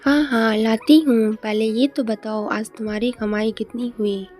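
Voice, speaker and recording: A woman's voice: fairly high pitch, steady tone, unhurried, a little mellow, faint music behind. Greedy, nagging village wife played by a woman. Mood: demanding. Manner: scolding, demanding.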